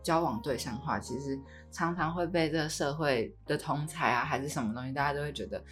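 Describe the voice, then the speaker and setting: A woman's voice: low voice, fast talker. A woman in her early twenties with a Taiwanese Mandarin accent co-hosts a casual, conversational podcast. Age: early 20s. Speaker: podcast co-host. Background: background music with guitar.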